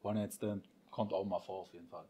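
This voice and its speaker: Casting: young man, low, dark and husky, cheeky contestant. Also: flat delivery, quick pace. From Frankfurt. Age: 20s. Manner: cheeky, boastful. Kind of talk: casual chat.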